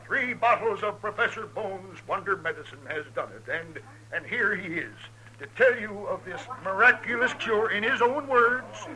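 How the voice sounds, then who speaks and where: An actor playing a bombastic, smooth-talking quack professor with a grandiose oratorical sales pitch in a 1950s radio western. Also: male voice, moderate pace, pushed and bright. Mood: solemn, florid. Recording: noisy old radio show recording.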